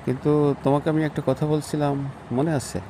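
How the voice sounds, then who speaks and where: A man's voice: on the high side, flat delivery, normal speed, mellow, a little hiss or hum, lightly acted. A man acting as a persistent office boss in a phone-call drama, coaxing and reassuring in a conversational way. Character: persistent male office boss coaxing a reluctant female employee.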